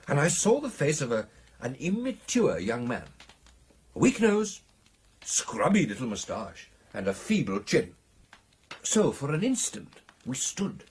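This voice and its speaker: Husky, highly animated British gentleman storyteller. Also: heavily acted. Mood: animated, amused. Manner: theatrical, hesitating and emphatic. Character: excitable, amused club gentleman telling his ghost encounter. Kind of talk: dramatic storytelling in a radio play.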